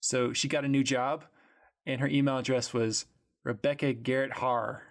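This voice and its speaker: Man, podcast host, casual chat, relaxed, wry. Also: mid-range voice, normal speed.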